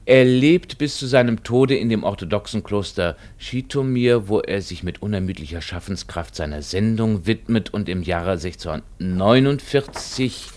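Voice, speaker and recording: A fairly low-pitched male academic played by an actor, solemn and pedantic. He delivers a radio-play lecture in stiff, learned phrases with the odd hesitation. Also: lively intonation, normal speed, hardly any pauses, a little mellow, natural voice. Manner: stiff, learned, formal, hesitant over his words. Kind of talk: mock art-history lecture in a radio play.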